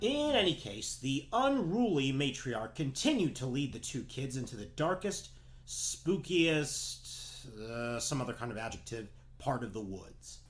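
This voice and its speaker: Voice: mid-range voice, very animated, a little slow. A theatrical narrator reads a comic fairy tale with hugely swooping intonation. The delivery is wry and scripted, over faint music. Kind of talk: comedic scripted storybook narration.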